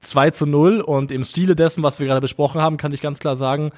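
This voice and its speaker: A man co-hosting a casual football podcast, hoarse from a cold, talking in an enthusiastic, rambling way. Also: fairly high pitch, fairly even intonation, quick pace, a little mellow. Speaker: podcast co-host.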